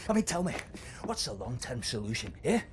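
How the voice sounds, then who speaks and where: An actor playing an older male fighter, bitter and foul-mouthed, swinging from muttering to shouting. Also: mid-range voice, sing-song, fairly quick, slight background noise, close to an everyday voice. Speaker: resistance fighter. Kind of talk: video game cutscene acting.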